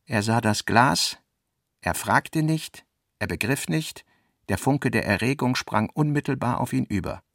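A man's voice: mid-range voice, expressive, measured pace, a bit bright, no room sound, studio quality. A man with a Standard German accent reading scripted literary narration as the narrator of a radio production.

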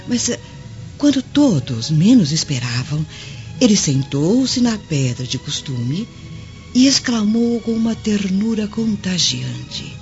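A woman's voice: low voice, heavily acted. An actress playing a devout servant woman, telling her story tenderly and reverently in a declamatory radio drama style. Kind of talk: Brazilian radio drama acting. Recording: dull audio, soft music behind.

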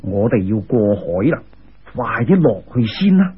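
A man voicing a calm, amused, commanding immortal guide in an unhurried, lofty manner, in a storytelling recording. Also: on the low side, slightly rough, narrow, tinny audio, pitched below the performer's own voice, exaggerated voice. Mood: calm, directing.

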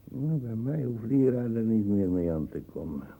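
An actor playing a suspicious, cantankerous old colonial uncle with a gravelly, husky voice in a radio drama. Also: male voice, lowish voice, very animated, moderate pace, phone-quality sound, full character voice. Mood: grumpy, skeptical. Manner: brooding, cantankerous.

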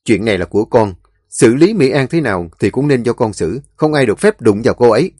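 A young husband stands his ground in a firm, clipped voice, played by the narrator. His pitch moves a lot even as he holds firm. Dry, close sound. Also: a little fast, breathy edge, no room sound. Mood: defiant. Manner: firm defiant.

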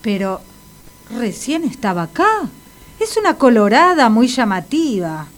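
A stern Argentine hospital doctor in a comic radio drama. She is sardonic and insistent, with a fairly high voice over faint music. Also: very animated, a little slow. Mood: stern, impatient.

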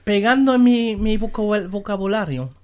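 Woman co-host, halting playful Spanish, medium pitch. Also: darkish tone. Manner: non-native Spanish with slips, playful. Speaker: podcast co-host. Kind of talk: casual chat.

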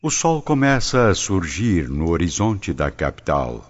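A man's voice: low voice, very animated, measured pace. A man giving scripted, dramatic narration for a radio drama. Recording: dull lo-fi audio. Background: prominent background music.

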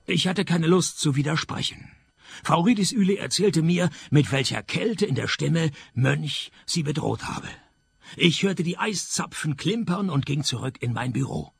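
A world-weary male detective in a comic noir radio play. His voice is slightly high and creaky, with dry sarcasm, swinging intonation. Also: animated, a little slow, heavily acted. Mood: dry, resigned. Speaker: narrator and lead actor of a detective radio play.

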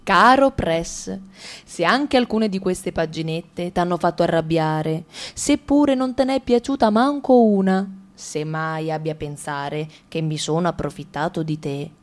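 A woman's voice: on the low side, a little slow. A woman narrating a satirical sketch for a radio play in a wry, ironic tone.

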